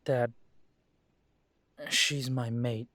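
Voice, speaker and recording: A man playing a slow, earnest young werewolf. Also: medium pitch, little pitch movement, pushed and bright, no echo. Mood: insistent. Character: young adult male werewolf son, earnest and proud. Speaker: audio drama creator and lead voice. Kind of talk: audio drama acting.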